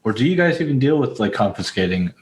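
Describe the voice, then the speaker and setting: A man's voice: medium pitch, sing-song, fast, a little hiss or hum. A man hosting a podcast in casual, informal conversation, with some swearing. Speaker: podcast host. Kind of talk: casual chat.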